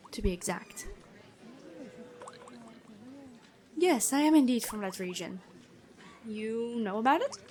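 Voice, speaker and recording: Woman playing a gentle, high-voiced female prison guard. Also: a little hiss or hum. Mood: calm, matter-of-fact. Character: stern but gentle noble-born female prison guard, curious, bookish. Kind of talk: ASMR fantasy roleplay, addressing the listener.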